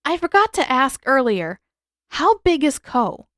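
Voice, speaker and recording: Woman voicing a high-pitched, earnest young boy. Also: big pitch swings, measured pace, fairly bright tone, no echo, clean studio sound, heavily acted. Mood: puzzled, sheepish. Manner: calling out, questioning. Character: earnest, puzzled young teenage boy hero. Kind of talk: audiobook character acting.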